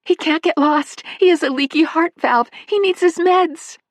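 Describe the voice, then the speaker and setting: A woman voicing a fussy older woman who dotes on her cats, speaking shrilly and high. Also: normal speed, no room sound, clean studio sound. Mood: frantic. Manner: shrill and high, frantic when upset. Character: fussy older woman, doting cat lady.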